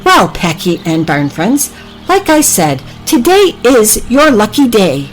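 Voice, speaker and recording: A woman's voice: fairly high pitch, huge pitch swings, slow pace, faint music behind, pitched above the performer's own voice, full character voice, low-quality recording. A woman voicing a confident, reassuring female secret agent in a children's story. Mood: breezy.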